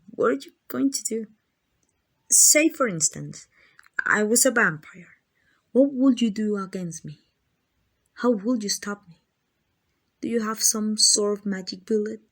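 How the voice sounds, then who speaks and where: An arrogant female vampire, acted by a woman for an ASMR roleplay. She talks slowly in a mid-range voice, toying with the listener in a condescending, possessive way. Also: medium pitch. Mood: mocking, amused. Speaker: amateur voice actor.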